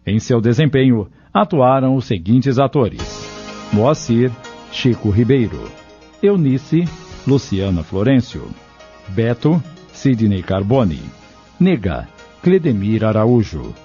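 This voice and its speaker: A man's voice: medium pitch, very animated, takes it slowly, mellow. A man reading scripted radio drama narration in a formal announcer style. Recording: dull lo-fi radio, music behind.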